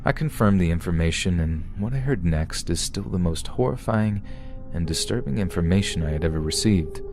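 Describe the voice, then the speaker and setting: A man narrating a scripted first-person horror story in a steady, even read. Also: low-pitched, fairly quick, faint music behind.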